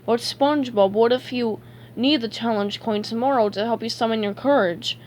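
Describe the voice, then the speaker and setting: A woman's voice: high-pitched, expressive, normal speed, a little mellow, a little hiss or hum, voice pushed up. Woman as a dim, casual male cartoon starfish. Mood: reasonable, needling.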